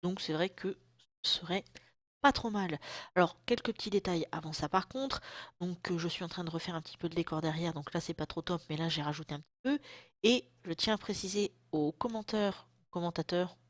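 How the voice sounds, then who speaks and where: A woman's voice: on the low side, a little fast, no echo. A woman in her thirties talking casually to camera at home, chatty and self-mocking, with a throat still sore from a cold. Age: 30s. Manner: chatty, self-mocking, loose slangy talk. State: lingering sore throat, occasional coughs. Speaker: vlogger. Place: at home, indoors.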